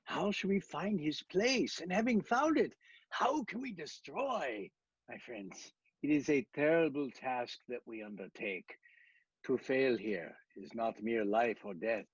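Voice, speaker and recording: A grave older professor who hunts vampires, with a very husky voice and dramatic swings of pitch. He declaims solemnly and commandingly in stilted, foreign-sounding phrases, as in a radio play. The recording is dull, with music behind. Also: lively intonation, a little slow, dry recording. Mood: grave, rallying.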